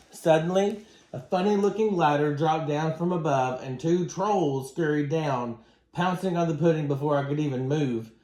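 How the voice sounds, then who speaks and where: Higher-voiced man reading a storybook, roomy sound. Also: a little mellow. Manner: dramatic, acts out dialogue. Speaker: teacher reading to students. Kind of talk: expressive children's storybook read-aloud. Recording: roomy, echoing room sound.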